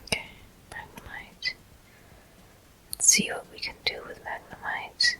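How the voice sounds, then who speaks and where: Bright, breathy ASMR whisper. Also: unhurried. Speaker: gameplay walkthrough host. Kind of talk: ASMR whispered game commentary.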